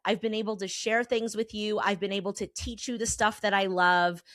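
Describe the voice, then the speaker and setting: Hoarse-ish 40s woman doing her podcast, candid, says y'all, swears a bit, mid pitch, dry sound. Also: a bit bright, dry recording. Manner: candid, emphatic, uses y'all and casual swearing. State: slightly hoarse. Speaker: podcast host and therapist. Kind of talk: personal podcast monologue. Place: Colorado.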